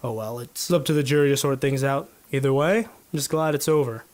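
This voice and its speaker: A man's voice: mid-range voice, a little fast, a little mellow, close to an everyday voice. A man playing an earnest, trusting 1950s policeman in a noir radio drama, speaking in a hesitant, conversational way. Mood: relieved, unsure.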